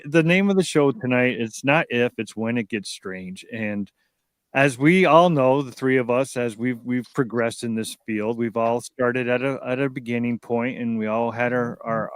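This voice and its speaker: A man in his fifties hosts a laid-back talk show in a smooth, clear voice. His sound is close and dry. He rambles a little, doubling back over words as he gets each sentence going. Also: medium pitch, no echo. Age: 50s. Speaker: show host. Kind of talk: casual talk show hosting. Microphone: online video call.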